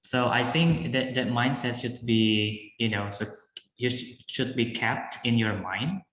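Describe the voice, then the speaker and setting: A man's voice: medium pitch, fairly bright tone, slight background noise. Indonesian man in an echoey room. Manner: halting, frequent fillers and restarts. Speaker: guest speaker answering questions. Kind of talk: live online class Q&A.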